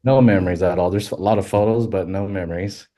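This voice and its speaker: A deep-voiced man from Thailand talks as a podcast guest, his tone low and mellow. He speaks haltingly, full of fillers and restarts, in a relaxed interview. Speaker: podcast guest, western filmmaker.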